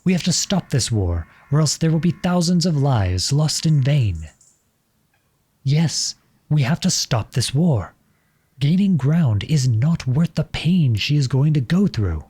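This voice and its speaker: A man's voice: fairly high pitch, very animated, measured pace, mellow, slightly rough, exaggerated voice. A man playing an impassioned, defiant young prince turned soldier in a scripted audio roleplay, with an earnest, dramatic delivery. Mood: urgent, pleading.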